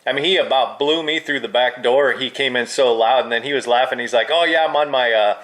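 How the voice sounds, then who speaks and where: A man's voice: mid-range voice, fairly quick, fairly bright tone. A man having a casual hobbyist chat as a radio operator, heard through a two-way radio. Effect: two-way radio transmission. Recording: crackly two-way radio audio.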